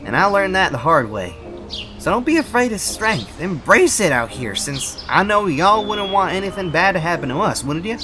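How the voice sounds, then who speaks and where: A man's voice: high-pitched, big pitch swings, normal speed, over music. A young man voicing a scrappy, earnest thirteen-year-old cartoon bunny boy with a Southern US accent, emphatic and folksy as he pleads. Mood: firm, urgent. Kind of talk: dramatic cartoon monologue.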